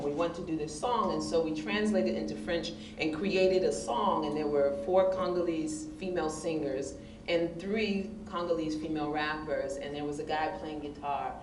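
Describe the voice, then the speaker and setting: A woman with a big voice answering on a discussion panel, expressive and emphatic, often laughing. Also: lowish voice. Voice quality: big, loud voice. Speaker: panelist, hip hop poet. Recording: distant mic in echoey room with noise.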